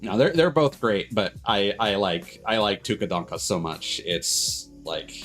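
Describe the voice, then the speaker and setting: Male livestream host, lower register, loose halting chat, light music in the background. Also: big pitch swings, fairly bright tone. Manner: rambling, halting, backtracking mid-sentence. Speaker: streamer host. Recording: music playing behind the voice.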